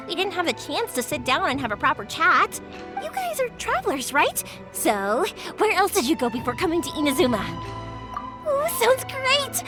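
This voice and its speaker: An actress playing a cheerful, energetic young woman who makes fireworks, talkative and quick to laugh in a video game. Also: very high-pitched. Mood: friendly. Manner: bubbly, talkative, laughs often. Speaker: game character. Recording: game audio with music behind.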